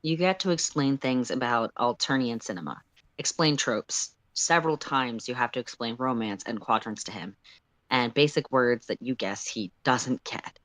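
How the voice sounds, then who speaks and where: A story streamer with a medium-pitched voice and a very dry recording. The delivery is casual and a little self-deprecating, moving between chat and reading fiction aloud. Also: normal speed, a bit bright, dry recording, low-quality recording. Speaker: livestream co-host and story reader.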